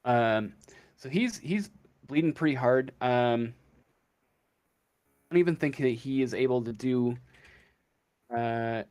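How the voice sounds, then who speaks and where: A man acts as game master in a casual tabletop session. He speaks slowly at a middling pitch, making up the narration as he goes with a hesitant, halting delivery. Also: a little mellow.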